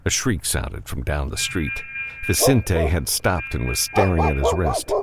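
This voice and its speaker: A man's voice: rumbling low, expressive, unhurried, loud music behind the voice. A man narrating an adventure novel as an audiobook, reading scripted prose dramatically.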